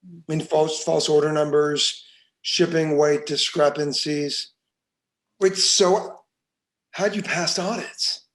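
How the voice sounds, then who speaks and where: A man playing a probing, puzzled investigator in a screenplay table read, halting and questioning. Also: on the high side, steady tone, fairly bright tone. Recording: echoey room.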